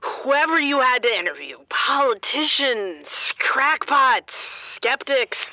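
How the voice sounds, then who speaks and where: A woman's voice: high voice, sing-song, slow pace, bright, forceful tone, no echo, exaggerated voice, rough recording. A woman playing a troubled, self-doubting young radio interviewer in a naturalistic audio drama. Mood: tipsy, despondent. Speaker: radio reporter.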